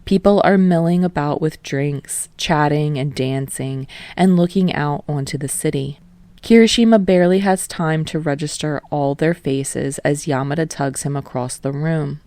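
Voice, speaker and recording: A woman reading fan fiction aloud in a calm, steady narration. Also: low-pitched, moderate pace, no echo. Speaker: podfic reader. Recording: dry close home recording.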